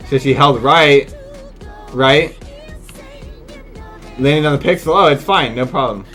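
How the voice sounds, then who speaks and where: A man's voice: medium pitch, takes it slowly, a bit bright, faint music behind. A man giving casual, incredulous live commentary as a video game streamer, exclaiming and swearing freely.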